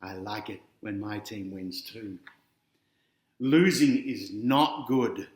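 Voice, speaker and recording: A man's voice: lowish voice, slow, roomy sound. An Australian man reading a children's picture book aloud, adding chatty asides and talking directly to young listeners.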